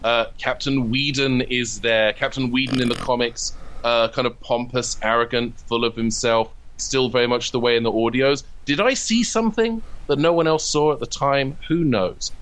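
A man's voice: mid-range voice, normal speed, hardly any pauses, fairly bright tone, poor audio. A man talking casually as an interview guest about his own creative work, chatty and wry, wandering into asides. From from abroad, immigrated in 2007. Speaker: interviewed comedy writer and audio drama creator.

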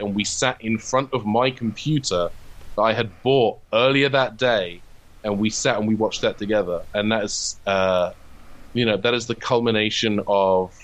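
A man's voice: lowish voice, fairly bright tone. A man in his thirties from the UK with a British accent, reminiscing casually as an interview guest. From United Kingdom. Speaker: interview guest, comedian and writer.

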